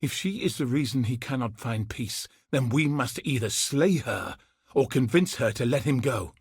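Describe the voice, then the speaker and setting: A man voicing a grim, dutiful soldier commander in a video game, speaking with husky, solemn, earnest resolve. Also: medium pitch, expressive, moderate pace, no room sound, studio quality. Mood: grave, determined. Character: grim, dutiful male soldier commander hunting cosmic threats. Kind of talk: scripted video game character dialogue.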